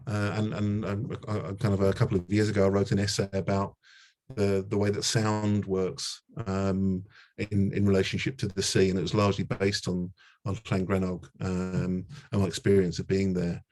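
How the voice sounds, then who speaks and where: Man, very low voice, monotone, hesitant, artist talk. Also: moderate pace. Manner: hesitant, many fillers and restarts. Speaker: artist presenting his work. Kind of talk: panel talk at an art gallery. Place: gallery talk before a live audience.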